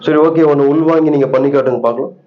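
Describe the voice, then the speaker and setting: A man's voice: a little high, steady tone, quick pace. A man making a prank call as a mock-serious interviewer, asking critical questions on a muffled phone recording. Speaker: prank caller posing as acting auditioner.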